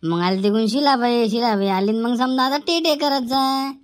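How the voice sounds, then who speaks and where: A woman's voice: fairly high pitch, moderate pace, no echo, clean studio sound, lightly acted. A woman voicing a chatty male village journalist who gossips in a comic cartoon, with a rural Varhadi Marathi accent. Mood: gossipy amusement.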